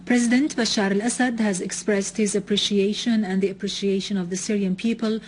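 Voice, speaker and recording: A woman news presenter reading a scripted bulletin in a formal, measured way. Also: medium pitch, steady tone, a little hiss or hum, rough recording.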